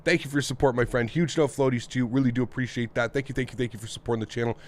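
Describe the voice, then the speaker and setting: A friendly man hosting a live stream, his voice nasal and a little congested. His pitch sits in an ordinary middle range, and he greets viewers one after another with upbeat cheer. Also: steady tone, racing. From North America. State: congested throat from the weather. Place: home flight sim setup.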